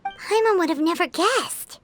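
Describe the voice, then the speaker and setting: A woman voicing a tiny, bubbly female game companion who talks about herself in the third person, with a high, squeaky, childlike voice. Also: expressive, measured pace, fairly bright tone, a little hiss or hum, full character voice. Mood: curious. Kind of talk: video game voice acting.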